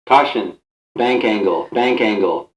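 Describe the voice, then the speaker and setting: A man's voice: lowish voice, measured pace, close to an everyday voice. A man voicing an automated aircraft warning system with clipped, impersonal repeated callouts, heard as muffled, noisy playback from a small speaker. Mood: terse, insistent. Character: automated male cockpit warning system, terse and insistent.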